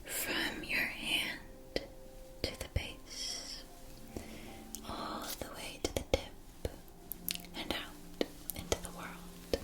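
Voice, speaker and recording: A woman performing a scripted ASMR fantasy roleplay as a wand seller, speaking softly and deliberately with formal shopkeeper patter.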